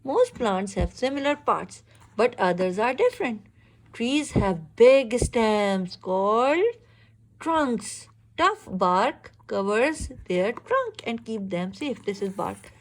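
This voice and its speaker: A woman reads a children's picture book aloud in an expressive, instructive way, pausing to ask questions. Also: on the high side, poor audio. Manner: expressive, instructive, exclaims and checks understanding. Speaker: reader teaching a young child.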